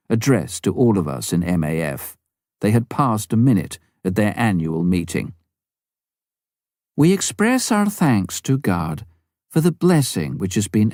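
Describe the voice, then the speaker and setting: Mellow male audiobook narrator, low and expressive. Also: dry recording, studio quality. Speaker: audiobook reader.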